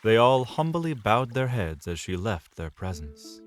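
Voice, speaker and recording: Man, lowish voice, animated intonation, scripted dramatic narration, fantasy audio drama, music behind. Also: a little slow. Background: music under the voice.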